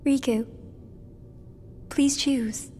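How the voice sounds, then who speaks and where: A solemn, gentle young girl from a video game. Her voice is high and she speaks slowly and earnestly, with a long hall reverb around it. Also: fairly even intonation. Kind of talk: dubbed video game cutscene acting. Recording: long hall reverb, faint background noise.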